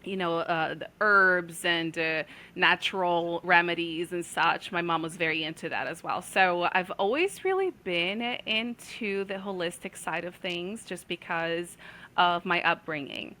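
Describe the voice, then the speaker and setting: A woman in her forties from Brazil, earnestly telling her life story as a guest, pausing often on fillers. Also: on the low side, pushed and bright. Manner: earnest, with many filler pauses. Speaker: guest, intuitive healer. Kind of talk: casual storytelling.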